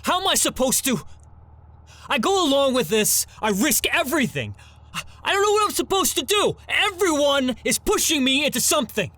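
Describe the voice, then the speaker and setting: A man's voice: very high voice, very animated, normal speed, bright, forceful tone, dry recording, full character voice. A man playing an anxious, cornered young ex-con in an audio drama, hesitant, stammering and deferential. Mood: panicked.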